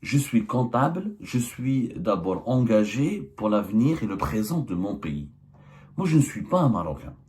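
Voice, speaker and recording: Emphatic man with a lively voice. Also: lowish voice, moderate pace. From Algeria. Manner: emphatic, piles up rhetorical questions; hesitant fillers and restarts. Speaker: journalist and channel host. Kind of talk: political commentary monologue.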